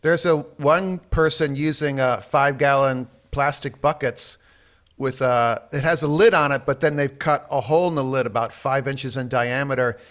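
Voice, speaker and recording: A man's voice: mid-range voice, steady tone, moderate pace, a bit bright. A man hosting a podcast in casual chat, curious, asking questions and reading bits from the web. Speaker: podcast host.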